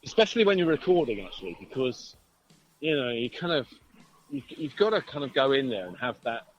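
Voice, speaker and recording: A man's voice: medium pitch, very animated, a little fast, highs cut off, a little hiss or hum. A man, a musician being interviewed, talking casually in a hesitant, rambling way. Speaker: band musician, interview guest.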